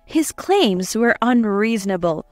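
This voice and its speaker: Brief: female narrator, higher voice, emotive scripted drama, dry sound with light music behind. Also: sing-song, measured pace, no echo. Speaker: story narrator. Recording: dry close voice over faint music. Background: soft background music.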